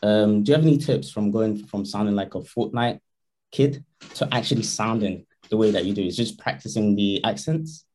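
A man's voice: on the low side, normal speed, darkish tone, a little hiss or hum. A man co-hosting a podcast, asking questions in casual interview chat. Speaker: podcast co-host.

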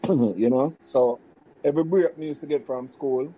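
Jamaican man, 50s, warm low voice, halting patois storytelling, slightly dull recording. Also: husky. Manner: patois-laced, halting, many restarts and fillers. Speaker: guest recalling childhood. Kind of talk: casual reminiscing chat.

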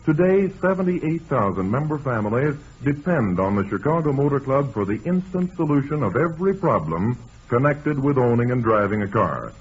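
Husky old-time american radio announcer guy, lively salesman delivery, muffled sound. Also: medium pitch, moderate pace. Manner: formal, salesmanlike.

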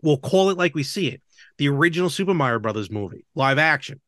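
Higher-pitched man, close dry podcast chat. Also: expressive, quick pace, fairly bright tone, no room sound. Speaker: podcast co-host. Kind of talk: casual chat about movies and games.

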